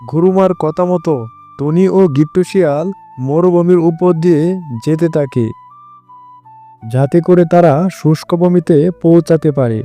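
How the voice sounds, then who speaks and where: A man's voice: fairly high pitch, normal speed, long pauses, warm tone, soft background music. A man reading scripted narration for a children's cartoon story.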